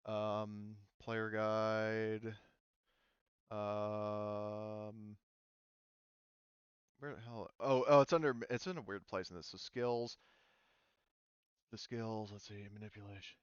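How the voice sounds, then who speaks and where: A man's voice: lowish voice, flat delivery, takes it slowly, pauses often, clear, resonant tone, no room sound, highs cut off. A man speaking casually as a game master, explaining rules and thinking aloud in a hesitant, informal way.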